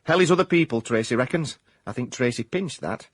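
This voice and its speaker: Wry Yorkshire ex-miner turned gumshoe, radio comedy; lively intonation, husky, deadpan mock-noir phrasing. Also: male voice, mid-range voice, fairly quick, dry recording. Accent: South Yorkshire. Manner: deadpan, mock hard-boiled narration, clipped phrases. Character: wry former-miner private eye, hard-boiled pretensions, hapless. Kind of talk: comic radio drama acting.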